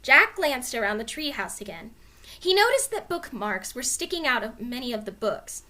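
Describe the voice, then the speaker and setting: Female storybook reader: expressive narration, very lively pitch movement, bright tone. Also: moderate pace.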